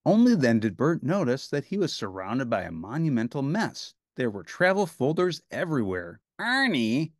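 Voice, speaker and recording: A man narrating a children's storybook as an animated read-aloud. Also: mid-range voice, normal speed, few pauses, dry recording, clean studio sound.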